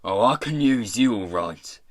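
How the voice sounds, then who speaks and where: A man voicing a rough pirate mayor in a storybook, blustering and bullying, with a fawning politeness toward gentlemen. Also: lowish voice, expressive, unhurried, no echo, clean studio sound. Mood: gruff, dismissive. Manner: working-class turns of phrase, swings from threats to humble politeness.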